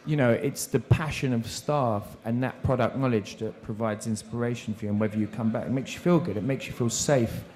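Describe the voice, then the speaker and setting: A man's voice: mid-range voice, brisk, few pauses, a little mellow. A man who runs restaurants, speaking earnestly on a seminar panel about his staff and wine sales, with a few restarts. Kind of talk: panel discussion. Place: seminar room.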